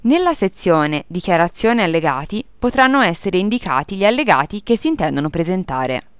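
Female tutorial narrator, formal scripted read, lowish and lively intonation, dry close-mic sound. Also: normal speed, clean tone, dry recording. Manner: formal, procedural.

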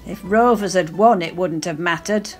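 An actress playing an impatient, blunt, nagging wife on a day out in a radio play. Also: female voice, on the low side, normal speed, faint music behind, close to an everyday voice. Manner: blunt, complaining.

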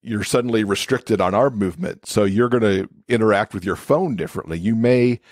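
Guy with a lowish voice explaining stuff as a podcast guest, really dry sound. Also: fairly quick, a little mellow, dry recording. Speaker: podcast guest, accessibility expert. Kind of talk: conversational interview explanation.

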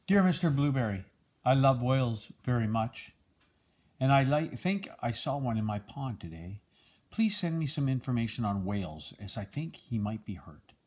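An older man with a husky voice reads a children's picture book aloud in a gentle, measured storytelling manner. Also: mid-range voice, big pitch swings. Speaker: storybook reader, a grandfather.

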